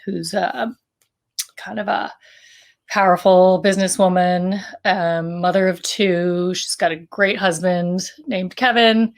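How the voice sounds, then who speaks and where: Middle-aged woman presenting her podcast. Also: fairly low pitch. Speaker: co-author of a fiction podcast. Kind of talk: casual promotional talk about a story.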